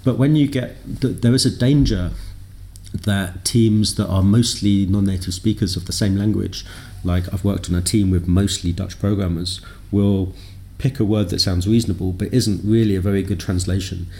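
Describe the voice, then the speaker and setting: A man, a native English speaker, being interviewed as a software developer, talking thoughtfully with lots of ums and self-deprecating asides. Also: deep voice, steady tone, normal speed. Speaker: podcast guest, software developer. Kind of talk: conversational interview.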